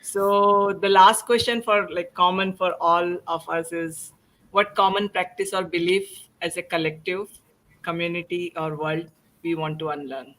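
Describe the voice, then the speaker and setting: Woman running a panel chat, slow talker, bright clear-cut voice, asks the questions. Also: lowish voice, fairly even intonation, low-quality recording. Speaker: host of a panel discussion. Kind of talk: hosting a group conversation.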